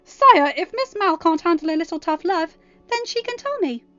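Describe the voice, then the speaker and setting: Woman putting on a high, snooty, scolding handler. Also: animated, moderate pace, pushed and bright, no room sound, dull audio, exaggerated voice. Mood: huffy, defensive. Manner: prim, condescending, huffy. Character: snooty, scolding young female royal handler.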